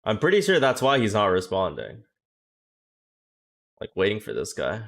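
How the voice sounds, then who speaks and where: A man's voice: on the low side, huge pitch swings, creaky, a bit bright. Profane joking young male streamer. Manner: profane, joking, quick reactions. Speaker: streamer and coach. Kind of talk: casual livestream chat.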